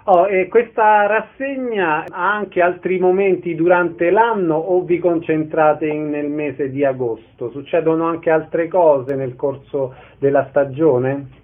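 A man's voice: fairly high pitch, fairly even intonation, takes it slowly. A man working as an interviewer, putting casual questions in a radio conversation. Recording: narrow band, phone-like radio sound.

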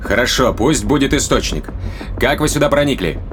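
A man's voice: mid-range voice, expressive, normal speed, fairly bright tone, a little hiss or hum, lightly acted. Man as a stern interrogating spaceship officer. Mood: impatient, demanding. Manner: blunt questioning, formal analytical phrasing. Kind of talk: audio drama acting.

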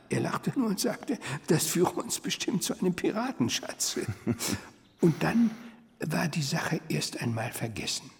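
An older actor playing a sly, patient elderly doctor in a radio crime drama, with a creaky voice and an unhurried, teasing way of telling a story. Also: male voice, on the high side, exaggerated voice. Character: elderly male doctor, sly, patient, savouring his tale. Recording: long hollow hall reverb.

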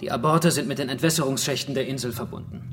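An actor playing a practical, matter-of-fact islander who guides survivors in a German radio horror drama. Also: male voice, medium pitch, steady tone, fast talker, fairly bright tone, a little hiss or hum, close to an everyday voice. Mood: explaining, sober. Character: practical male islander guiding survivors through dark tunnels.

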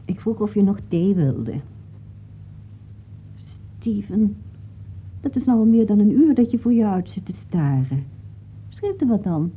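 Anxious wife on narrow-band old radio. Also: female voice, medium pitch, very animated, unhurried, pauses often. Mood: attentive. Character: anxious, inquisitive wife of a writer. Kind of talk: Dutch radio play acting. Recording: muffled, noisy old radio play recording.

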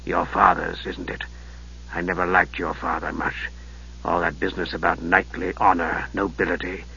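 A man voicing a frail, sardonic old archmage in a soft, low voice that drops to whispers and a slow, cutting delivery, on a dull lo-fi recording. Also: flat delivery, gravelly, a little hiss or hum, full character voice. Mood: cold.

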